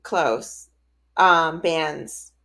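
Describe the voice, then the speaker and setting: A woman's voice: low voice, extremely slow, vocal fry, bright, forceful tone. Chatty woman in her thirties. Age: 30s. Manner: chatty, upbeat, laughs often. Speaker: podcast co-host. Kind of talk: casual chat.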